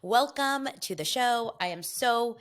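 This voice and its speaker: A woman's voice: a little high, lively intonation, a bit bright. A middle-aged woman hosting her podcast in a casual, gossipy chat, a little congested from a head cold. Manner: chatty and gossipy, quick asides. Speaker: podcast host.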